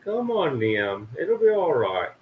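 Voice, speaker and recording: A man's voice: very animated, unhurried, narrow, tinny audio. A man putting on a mock Irish accent to tease, playing a lonely, beer-drinking Irishman. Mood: teasing. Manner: teasing, high sing-song put-on.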